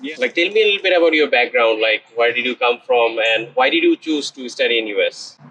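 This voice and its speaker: A man's voice: mid-range voice, animated, fairly quick, bright, forceful tone, a little hiss or hum, rough recording. A man hosting a casual interview, asking questions as the interviewer. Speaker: interviewer, program mentor.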